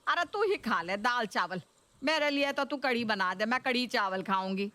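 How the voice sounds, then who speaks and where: A woman's voice: fairly high pitch, animated, normal speed, bright, forceful tone, no room sound. A woman voicing a nagging, demanding older mother-in-law in a Hindi cartoon story, complaining and taunting.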